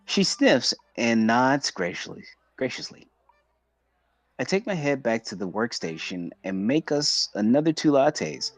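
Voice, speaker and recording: Guy streaming a visual novel read-along, expressive pitch swings, bit of music behind him. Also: a little slow, no room sound. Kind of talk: dramatic reading of visual novel narration.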